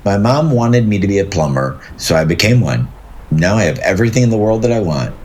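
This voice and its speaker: An easygoing plumber, very deep voice, lively swings in pitch, plainspoken, earnest, audio drama. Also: male voice, fairly quick, slightly rough. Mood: matter-of-fact. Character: good-natured, simple, easygoing male plumber. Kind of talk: naturalistic audio drama acting.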